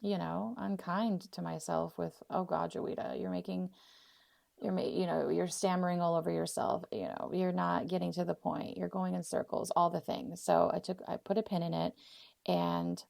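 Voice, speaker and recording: A woman's voice: lowish voice, steady tone, moderate pace, vocal fry, a little mellow, dry recording, clean studio sound. A 39-year-old woman with an American accent hosting a casual, personal solo podcast, speaking off the cuff in effusive, heartfelt, spiritual phrasing. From Arizona, US; born in Poland. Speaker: podcast host.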